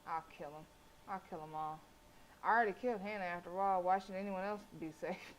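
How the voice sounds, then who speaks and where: Animated woman streaming a game. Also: lowish voice, fairly quick, poor audio. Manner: animated, sassy, colloquial. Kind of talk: live playthrough reading and reacting aloud. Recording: faint background noise.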